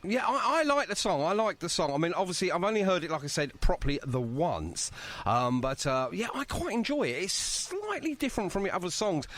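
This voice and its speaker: Chatty man with lively, swinging pitch. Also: brisk. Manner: chatty, self-deprecating. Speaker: podcast host interviewing a band. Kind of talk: casual music interview chat.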